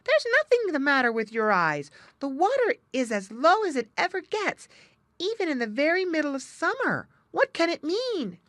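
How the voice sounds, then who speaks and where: A woman voicing a lively, excitable young male otter in a children's animal storybook, playful and exclaiming. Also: extremely high pitch, fast, hardly any pauses, dry recording, pitched above the performer's own voice. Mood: amazed, excited.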